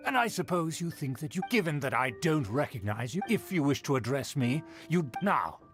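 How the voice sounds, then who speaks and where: A man's voice: a little high, very animated, normal speed, music in the background. An actor playing a haughty, easily offended nobleman in a video game, speaking in a formal, pompous, scolding way. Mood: haughty, lamenting. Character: haughty, indignant male nobleman of a declined clan. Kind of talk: video game character acting.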